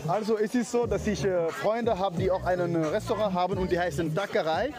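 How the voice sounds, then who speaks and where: A high-voiced man from Mauritania and Senegal, not a native German speaker, answers interview questions outdoors in a halting way. Loud music plays behind him at the event. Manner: halting, with many hesitations and restarts. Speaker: event organizer and host. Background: music playing.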